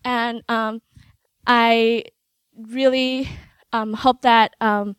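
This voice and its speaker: A young woman shares her student testimony, pausing often and filling gaps with soft "um"s. Her voice is smooth and resonant, sitting a little high. The sound is dry and close. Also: level tone, no room sound. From Hong Kong. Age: young adult. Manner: hesitant, many filler sounds, light laughs. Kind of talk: personal testimony on stage.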